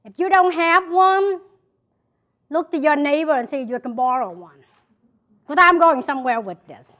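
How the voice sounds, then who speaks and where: High-voiced Vietnamese-accented woman speaker. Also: moderate pace, a bit bright. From South Vietnam. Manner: emphatic, repeats key phrases, addresses listeners by name. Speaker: motivational speaker. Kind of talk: motivational speech to a live audience. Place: event hall before an audience.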